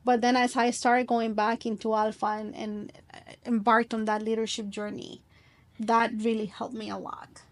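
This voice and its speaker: A woman's voice: a little high, flat delivery, normal speed. Earnest woman from Colombia reflecting. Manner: earnest, reflective, with frequent restarts. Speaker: guest. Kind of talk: podcast interview storytelling.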